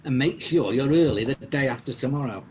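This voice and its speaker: An actor playing a miserly, bad-tempered old businessman in a comic radio drama, husky, sarcastic and blustering. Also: mid-range voice, a little fast, highs cut off, background noise, natural voice. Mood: irritable nagging.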